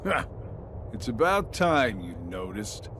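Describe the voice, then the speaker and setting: An actor playing a smug, sardonic video game villain with a teasing, casual drawl. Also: male voice, mid-range voice, very animated, slow pace, fairly bright tone, slight background noise. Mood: mocking. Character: smug, mocking male villain with playful casual swagger.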